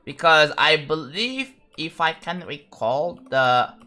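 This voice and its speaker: Guy streaming and building a level, bright voice, keeps saying like and restarting. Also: fairly high pitch, lively intonation, takes it slowly. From Indonesia. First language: Indonesian. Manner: rambling, full of "like" and restarts, talking to chat. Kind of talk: live-stream chat while building a game level.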